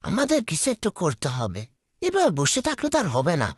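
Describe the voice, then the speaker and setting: A woman's voice: fairly low pitch, wildly swooping pitch, a little fast, a little mellow, breathy edge, full character voice. A woman voicing a determined, inventive village boy in a cartoon. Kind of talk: cartoon voice acting.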